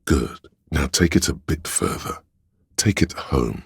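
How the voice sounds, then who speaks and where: A male actor voicing a sly inner voice of drama in a video game, a scheming courtly servant with theatrical, archaic flourishes and a gravelly, husky delivery. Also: extremely low pitch, expressive, slow pace, no echo, clean studio sound, heavily acted. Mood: shrewd, advising. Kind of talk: video game voice lines, addressing a liege.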